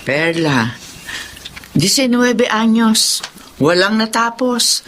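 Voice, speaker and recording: Radio drama role: weary older mother, unhurried, expressive pitch, faint noise behind. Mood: exasperated, resigned. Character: weary, resigned mother of grown children.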